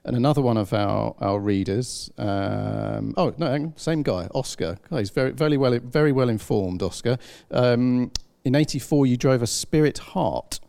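Male interviewer, gravelly and warm-toned, loose chatty questions. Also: medium pitch, moderate pace, few pauses. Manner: reads from notes, chatty and rambling.

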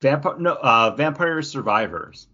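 A man co-hosting a podcast in casual chat, quick and jokey. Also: medium pitch, expressive, bright, forceful tone, muffled recording. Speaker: podcast co-host.

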